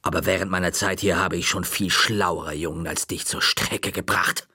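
A man voicing a stern older female librarian who speaks slowly, low and with quiet menace in an audiobook reading. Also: steady tone, breathy edge, dry recording, studio quality, full character voice. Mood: menacing. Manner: slow, low, quietly threatening.

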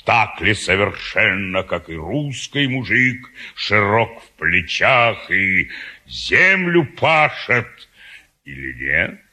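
An actor playing a ponderous, curious and formally polite official in a classic stage comedy, deliberate and ruminating in his speech. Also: on the low side, big pitch swings, bright, forceful tone, full character voice. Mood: curious, pondering.

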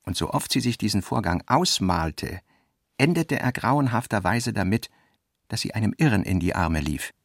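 A man's voice: on the low side, expressive, normal speed, dry recording, clean studio sound. A man narrating literary prose as the narrator of a radio drama.

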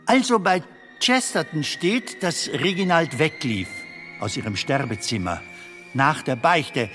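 A high-voiced, naive film comedian. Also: male voice, lively intonation, unhurried. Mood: hesitant recounting. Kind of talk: radio play acting. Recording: long reverb of a tunnel, music behind.

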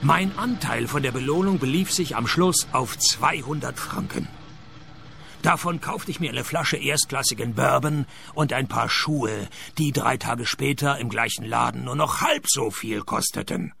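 An actor playing a laconic, world-weary private detective with dry, deadpan delivery in a hard-boiled radio play. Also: male voice, medium pitch, measured pace, vocal fry, a little hiss or hum, exaggerated voice. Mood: wry, weary.

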